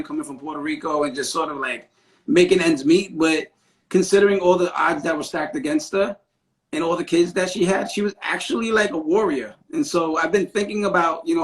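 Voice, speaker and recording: A man's voice: fairly high pitch, rough recording. Laid-back slangy man hosting. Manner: relaxed and slangy, with many fillers. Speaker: host. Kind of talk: casual conversation on a live stream. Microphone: video call.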